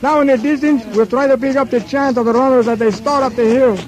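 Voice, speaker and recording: Very high-voiced field reporter. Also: male voice, fairly even intonation, fast, over music. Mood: excited. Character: amazed, chatty male on-the-spot race reporter. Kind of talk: live race reporting in a radio drama.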